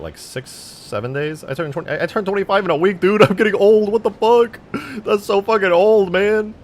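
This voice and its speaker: A man in his twenties chatting casually on a live stream while modding a game, rambling, joking and swearing freely. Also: medium pitch. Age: 20s.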